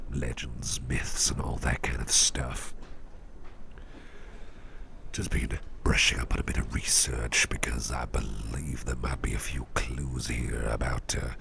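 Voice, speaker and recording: A man performing an ASMR roleplay as an attentive boss, speaking in a gentle, reassuring, breathy half-whisper with an unhurried delivery. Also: extremely low pitch, a little hiss or hum. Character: soft-spoken, attentive businessman boss who researches legendary artifacts. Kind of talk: ASMR roleplay, intimate one-sided chat.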